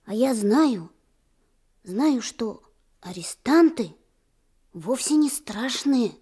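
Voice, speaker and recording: A woman's voice: a little high, lively intonation, extremely slow, warm tone, husky, exaggerated voice. A woman playing an eager, curious little boy in a Soviet radio drama, speaking earnestly.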